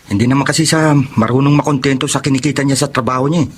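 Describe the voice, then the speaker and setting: Man playing disapproving relative, husky, quick-talking, bitter, radio drama. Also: mid-range voice, fairly even intonation, close to an everyday voice. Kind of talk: Filipino radio drama acting.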